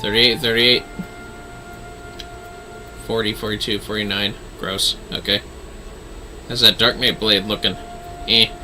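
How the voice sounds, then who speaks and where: A man's voice: on the low side, flat delivery, unhurried, long pauses, background noise. A man casually chatting as he streams a fantasy online game, musing playfully to himself. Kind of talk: casual gameplay livestream chat.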